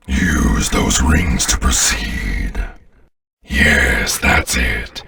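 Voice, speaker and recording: A sinister alien overlord speaks from the very bottom of the register, slow and imperious. The voice is gravelly and sounds a little distant, dripping with contempt like a commanding video game villain. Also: male voice, extremely low pitch, a bit bright, exaggerated voice. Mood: stern, then gloating, cruel. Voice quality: gravelly, growling.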